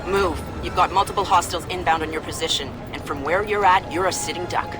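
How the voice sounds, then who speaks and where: An actress playing a curt, commanding intelligence operative directing an agent in a video game. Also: female voice, on the low side, fairly even intonation, fast, close to an everyday voice. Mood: urgent, commanding. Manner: curt, urgent, in control. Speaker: mission handler. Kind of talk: video game cutscene acting. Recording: loud music underneath.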